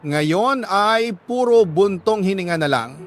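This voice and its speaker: A man reading a dramatic scripted story in a solemn, measured way, pausing for emphasis. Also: high-pitched, animated, faint music behind. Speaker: narrator reading a listener's letter.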